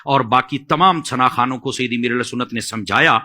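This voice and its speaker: A man's voice: mid-range voice, brisk, pushed and bright. A man giving religious admonition as a scholar on a talk show, emphatic and repeating phrases for stress.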